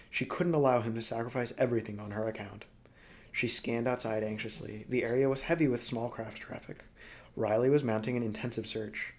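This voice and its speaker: Male storybook narrator, mid-range voice, expressive, deliberate, dramatic phrasing. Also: mid-range voice. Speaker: audiobook reader.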